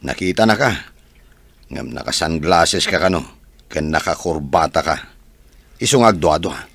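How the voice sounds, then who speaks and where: A man's voice: extremely low pitch, animated, moderate pace, creaky, fairly bright tone, slightly rough, dry recording, heavily acted. A man playing a shrewd boss in an Ilocano comedy radio drama, probing with questions. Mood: knowing.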